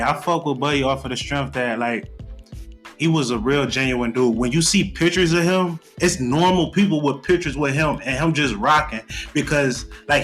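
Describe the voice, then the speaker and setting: A man's voice: a little high, fairly bright tone. A man on a podcast panel talking in a casual, slangy way.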